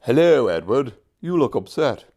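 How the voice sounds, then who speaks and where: A man voicing a kindly, calm country vicar in a children's storybook, genial and measured. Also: medium pitch, creaky. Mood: concerned. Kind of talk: children's storybook character reading.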